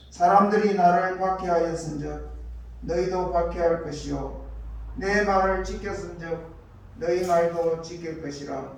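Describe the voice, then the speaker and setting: A male pastor with a high-pitched voice delivers a sermon in deliberate, short phrases. The sound is very echoey, as in a church, picked up at a distance from the microphone. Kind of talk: scripture reading and sermon. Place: church hall. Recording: echoey church, distant mic, faint noise.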